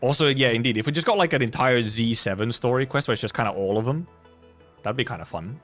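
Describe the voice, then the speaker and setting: A man's voice: mid-range voice, lively intonation, quick pace, fairly bright tone, soft background music. A man chatting casually on a game livestream, rambling and repeating himself as he talks. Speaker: game streamer.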